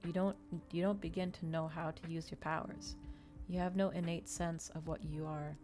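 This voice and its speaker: A woman's voice: low-pitched, little pitch movement, moderate pace, darkish tone, lightly acted. A woman voicing a sneering, domineering male vampire in a story reading, scornful and commanding. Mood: cold disdain.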